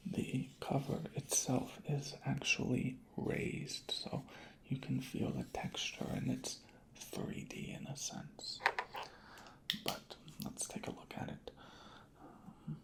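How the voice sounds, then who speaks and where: A hushed, breathy ASMR voice, soft-spoken and whispering in a deliberate, unhurried roleplay as an inspector. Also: mid-range voice. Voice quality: breathy whisper with creaky fry. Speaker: ASMR creator playing a record inspector.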